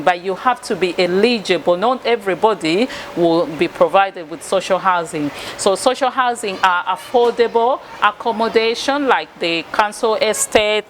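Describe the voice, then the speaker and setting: A woman from Uganda hosting a casual vlog tour, chatting straight to her viewers and repeating herself as she goes. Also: mid-range voice. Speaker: video host. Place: high up on a sixth-floor block of flats. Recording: noisy ambient vlog sound.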